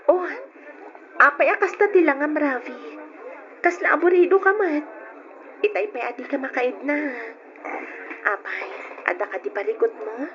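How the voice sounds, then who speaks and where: A woman's voice: high-pitched, animated, normal speed, pauses often, music in the background. A young woman with an Ilocano accent playing an affectionate, questioning girlfriend in an old radio drama. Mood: concerned. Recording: noisy, dull lo-fi radio recording.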